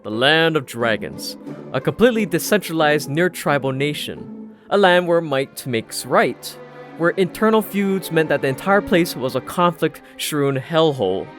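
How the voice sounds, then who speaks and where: A man's voice: on the high side, sing-song, a little slow, fairly bright tone, soft background music. A man narrating a first-person story from a script in a dry, sardonic manner. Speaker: audiobook narrator.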